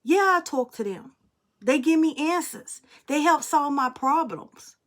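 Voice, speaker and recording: A young adult woman with a fairly high voice talks to camera as a spiritual reader. She is blunt and emphatic, with free swearing, in a loose, casual monologue. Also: expressive, unhurried. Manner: blunt, emphatic, freely profane.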